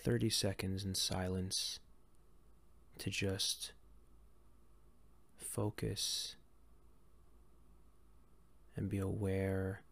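A man leading a guided meditation in a gentle, calm, unhurried way with long pauses between phrases. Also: deep voice, gravelly. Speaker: meditation guide and series host.

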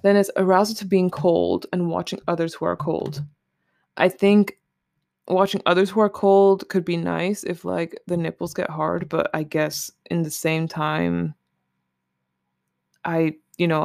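Casual creaky-voiced host, close and dry. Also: medium pitch, fairly even intonation, a little slow, a little mellow, dry recording. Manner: candid, informal, swearing. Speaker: solo podcast host. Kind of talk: casual rambling chat, commenting on a list.

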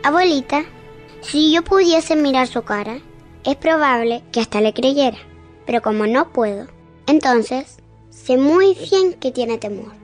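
A woman playing a perceptive, gently insistent blind young daughter in a radio drama. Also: high voice, animated, measured pace, soft background music. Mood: tender, knowing.

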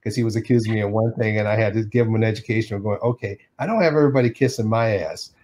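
Animated middle-aged man telling stories. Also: fairly low pitch, racing. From Detroit. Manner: animated storyteller who acts out quotes and laughs often. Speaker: animation artist guest. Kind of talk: casual storytelling interview.

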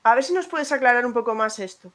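Higher-voiced woman hosting a live interview. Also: fast, fairly bright tone. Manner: reads viewer comments aloud. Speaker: host.

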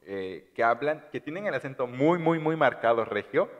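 Relaxed man from Chiapas, reverberant room. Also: mid-range voice, moderate pace, lo-fi sound. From Chiapas, Mexico. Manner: relaxed, many "ajá" fillers. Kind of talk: casual podcast chat.

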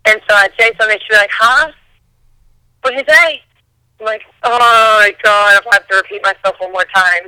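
A woman with a hoarse voice chats loosely as a podcast guest. She mumbles a bit and restarts her thoughts often. The recording is dry and close, with no room around her. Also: medium pitch, moderate pace, pushed and bright, no echo, low-quality recording. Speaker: singer and guest. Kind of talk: casual interview chat.

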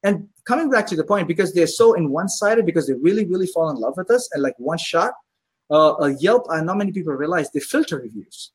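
Husky-voiced male restaurant owner, guest on an interview podcast, upbeat storyteller. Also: on the high side, expressive, quick pace. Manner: enthusiastic storyteller, acts out customers' voices. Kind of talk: casual interview chat.

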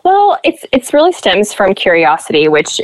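A young woman from Nebraska with a flat Midwestern accent, chatting as a podcast guest and acting out quoted lines in a lifted voice. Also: medium pitch, normal speed, dry recording. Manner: chatty, self-deprecating, acts out quotes with a lifted voice. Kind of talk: casual interview storytelling.

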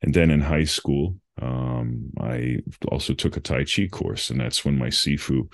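A man's voice: rumbling low, a little slow. A middle-aged man from New England, a meditation coach, thoughtfully telling his life story as a podcast guest, with many fillers. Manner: thoughtful, rambling, with frequent fillers and laughs. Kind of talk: reflective conversational storytelling.

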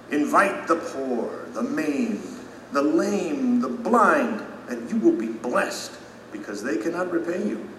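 Humble, regretful citizen: mid-pitched, expressive, earnest confession with thoughtful pauses, echoing hall setting. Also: male voice, far from the mic, a little hiss or hum. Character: humble, remorseful male citizen, gently earnest. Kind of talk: audio drama acting. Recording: echoey hall, music behind.

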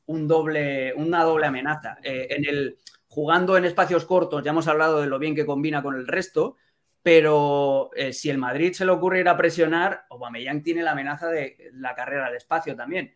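Opinionated man from Catalonia, higher voice. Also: moderate pace. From Catalonia, Spain. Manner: opinionated, animated, many fillers. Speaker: football TV presenter, guest. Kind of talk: live podcast chat.

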